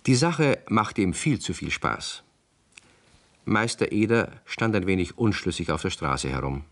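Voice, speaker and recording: A man's voice: low-pitched, big pitch swings, unhurried, a bit bright. A man narrating a 1960s German radio play as a scripted storyteller.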